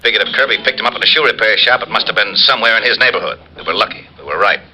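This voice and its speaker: An actor playing a businesslike police lieutenant, clipped and matter-of-fact, in an old-time radio crime drama. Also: male voice, fairly low pitch, rapid-fire, sharp tone, low-quality recording.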